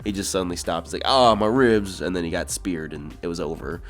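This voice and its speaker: A man's voice: low-pitched, a little fast, faint music behind. A young man co-hosting a casual wrestling chat, recapping in a wry, giggly way. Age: young adult. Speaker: co-host.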